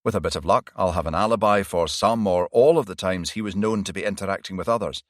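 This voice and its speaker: A man's voice: deep voice, fast, dry recording, studio quality, lightly acted. Man doing an urgent, earnest male detective. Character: intense, feverish off-duty male detective.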